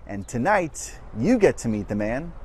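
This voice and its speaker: Lively male TV reporter voice-over. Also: mid-range voice, moderate pace, husky. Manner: bright, lively reporter delivery. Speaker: TV news reporter. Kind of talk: scripted news narration.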